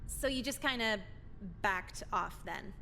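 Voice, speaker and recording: An actress voicing a sardonic teenage high-school girl with a dry, questioning delivery in a visual novel game. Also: lively intonation, a little slow, gravelly, pushed and bright, slight background noise, heavily acted. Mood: dry, probing.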